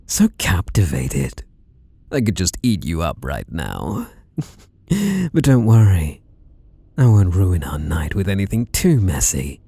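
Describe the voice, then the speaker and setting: A British man plays a masked slasher with an obsessive, possessive streak, made for intimate ASMR roleplay. He is close and dry, drawing his words out slowly. The pitch swoops widely as he teases and flirts with soft menace. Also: big pitch swings, husky, no room sound, exaggerated voice. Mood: twisted, amused. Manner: teasing, softly menacing, drawn-out delivery with sudden swoops.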